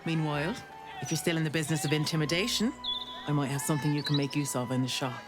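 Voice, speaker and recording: A woman playing a warm-hearted, teasing female arms trader in a video game, hearty and familiar. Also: low voice, fairly even intonation, quick pace, close to an everyday voice. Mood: hearty, affectionate. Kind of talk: dramatic video game acting. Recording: music behind the voice.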